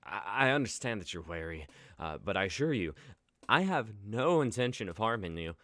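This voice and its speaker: Male actor as earnest young idealist, nervous and stammering, lowish voice, close dry recording. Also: sing-song, moderate pace, sharp tone, dry recording. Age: young adult. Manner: hesitant, stammering. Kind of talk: online dramatic script reading.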